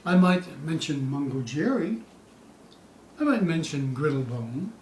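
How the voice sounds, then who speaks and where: A man giving a theatrical poetry recital, dramatic and suspenseful, with drawn-out phrases moving between hushed dips and loud peaks. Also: fairly high pitch, lively intonation. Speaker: poetry reader. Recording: distant, echoey room with faint hiss.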